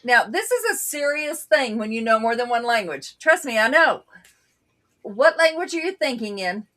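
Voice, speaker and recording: Brief: outspoken woman co-host, native Bulgarian speaker, slightly high voice, loose casual chat. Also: very animated, moderate pace, fairly bright tone. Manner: blunt, outspoken storyteller.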